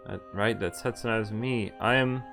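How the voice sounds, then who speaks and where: A man's voice: fairly low pitch, steady tone, moderate pace, creaky. A man reading visual novel dialogue and narration aloud in a conversational way, sometimes stumbling and correcting himself. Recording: game music behind the voice. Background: visual novel music.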